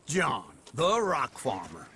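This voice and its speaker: An actor playing a lazy, teasing older outlaw in a video game, theatrical and self-pitying. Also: male voice, medium pitch, wildly swooping pitch, takes it slowly, sharp tone, a little hiss or hum, exaggerated voice. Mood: mocking. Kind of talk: dramatic video game acting.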